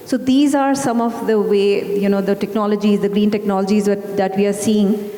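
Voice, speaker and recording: A woman investor talks through her thinking on a panel, halting often with fillers. Her voice is mellow and soft-edged. The sound carries the long echo of a big hall. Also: medium pitch, fairly even intonation. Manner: hesitant, many fillers. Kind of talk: panel discussion.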